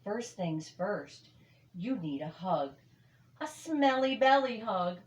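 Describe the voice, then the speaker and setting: A woman's voice: mid-range voice, sing-song, slow, dull audio. A woman voicing a kind young female bear cub in a storybook, speaking in an emphatic singsong in a roomy space. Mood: comforting, cheerful.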